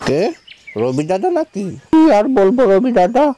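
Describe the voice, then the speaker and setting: A man's voice: high-pitched, wildly swooping pitch, moderate pace, mellow. Plaintive, swooping poor village father played by a man. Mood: despondent. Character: poor, despondent village father, humbly grateful. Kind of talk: cartoon moral-tale dialogue.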